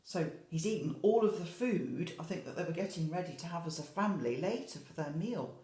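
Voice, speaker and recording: A woman teacher reading a picture book to young children in an expressive way, adding chatty asides and questions. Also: lowish voice, a little fast, roomy sound. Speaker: infant school teacher.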